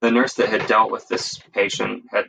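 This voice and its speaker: A man with a low, creaky voice tells stories casually. He talks informally and haltingly, with a bright edge, often circling back to reword himself. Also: little pitch movement, a little hiss or hum. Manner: halting, self-correcting, informal. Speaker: guest, emergency medical worker sharing stories. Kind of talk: casual storytelling.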